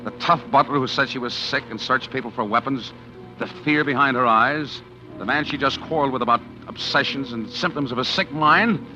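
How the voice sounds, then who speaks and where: A man's voice: medium pitch, fairly quick, pushed and bright, soft background music. An actor playing a desperate, scheming man who narrates his own story in a 1940s radio drama. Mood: tense. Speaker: narrating lead character. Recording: dull old radio broadcast with hiss.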